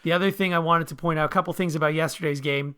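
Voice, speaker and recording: A man's voice: a little high, fairly even intonation, racing, pushed and bright, clean tone. A man co-hosting a sports podcast in casual conversation over a video call.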